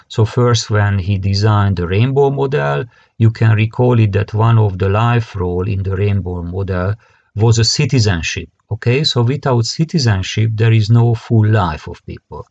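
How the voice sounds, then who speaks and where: A man with a Hungarian accent giving an academic conference lecture in halting, hesitant phrasing that often repeats words. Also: lowish voice, darkish tone, highs cut off. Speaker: conference presenter, career guidance expert.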